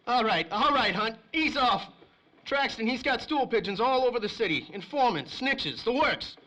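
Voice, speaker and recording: High-pitched, shifty radio snitch. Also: male voice, animated, moderate pace, pushed and bright, exaggerated voice, rough recording. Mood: reluctant confiding. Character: nervous, shifty male small-time crook turned snitch. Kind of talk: 1930s old-time radio crime drama acting.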